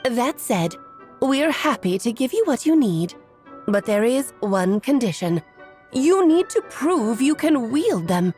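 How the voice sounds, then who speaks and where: A woman's voice: on the high side, big pitch swings, slow pace, darkish tone, soft background music. A woman voicing a playful, elegant, mysterious witch aunt in a video game, speaking in a coy, theatrical, genteel manner. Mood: fond, composed. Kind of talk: video game character acting.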